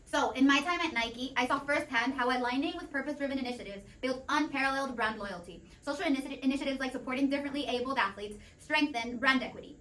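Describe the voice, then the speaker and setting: A woman's voice: sits high, fast talker, hardly any pauses, sharp tone, low-quality recording. A woman delivering a prepared persuasive argument on a debate panel, earnest and formal. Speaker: debate panelist playing an executive.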